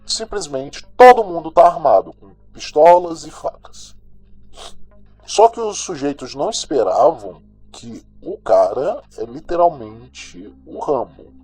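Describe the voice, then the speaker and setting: Male actor as sardonic radio crime host, Brazilian accent, slightly dark husky voice, slangy and gossipy, audio drama, music underneath. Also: mid-range voice, full character voice. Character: cynical, gossipy male host of a small-town crime news show.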